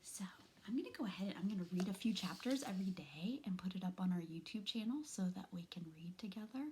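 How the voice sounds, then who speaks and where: A middle-aged woman reading a children's storybook aloud in an expressive, dramatic, deliberate way. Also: mid-range voice, slight background noise. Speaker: teacher reading aloud to students. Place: small furnished room at home, indoors.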